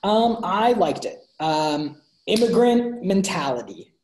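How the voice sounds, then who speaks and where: A man's voice: sits high, slow pace. A young man co-hosting a book review over a video call, talking casually with many fillers and restarts. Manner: animated hand gestures. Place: bright room at home. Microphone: video call laptop. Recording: roomy echo.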